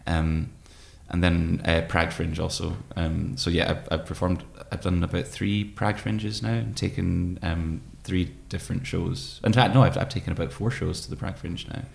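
A man in his thirties talking reflectively as an interview guest, hesitant and thoughtful, pausing often. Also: sits low, flat delivery, gravelly. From Scottish Highlands. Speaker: guest, poet and musician. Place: room with tall windows, indoors. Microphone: microphone on desk stand.

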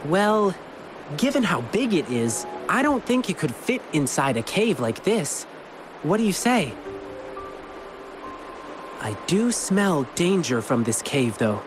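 A man's voice: on the high side, big pitch swings, takes it slowly, long pauses. A man playing an earnest, protective young hero in a video game, with a polite, reassuring way of talking. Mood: curious, wary. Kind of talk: scripted video game voice acting. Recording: game music under the voice. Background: background music.

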